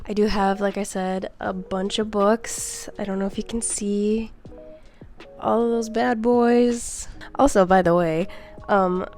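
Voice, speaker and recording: A woman's voice: mid-range voice, steady tone, slow, darkish tone. Young woman, congested from a cold, bubbly and rambling, talks right into the mic, faint music behind. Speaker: book vlogger. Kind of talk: casual chatty book haul. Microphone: close mic.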